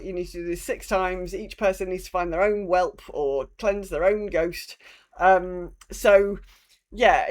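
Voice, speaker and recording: Informal, sweary woman chatting about games. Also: fairly low pitch. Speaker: podcast co-host. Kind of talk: casual gaming chat.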